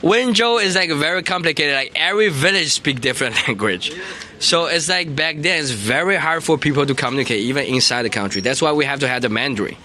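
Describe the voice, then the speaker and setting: A man from China with a Chinese accent, chatting easily on a street livestream in halting second-language English with frequent restarts. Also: a little high, expressive, crowd noise. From Taizhou, Zhejiang, China. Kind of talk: casual street livestream chat. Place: outdoors on a busy city street. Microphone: phone.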